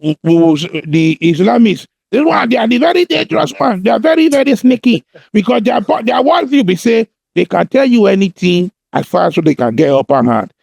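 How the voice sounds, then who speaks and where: A man's voice: sits high, very animated, brisk, creaky, a little mellow, slightly rough, dry recording. A man with a Nigerian accent talking on a podcast in an impassioned, emphatic way, repeating phrases for force. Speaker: podcast co-host. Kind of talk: impassioned conversational podcast talk.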